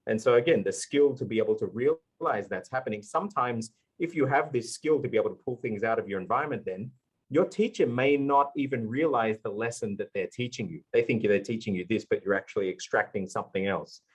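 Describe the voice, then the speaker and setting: A man's voice: fairly low pitch, slightly rough, poor audio. A man speaking on a live conference panel, earnestly explaining his ideas with many fillers. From Australia. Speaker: panelist, language YouTuber.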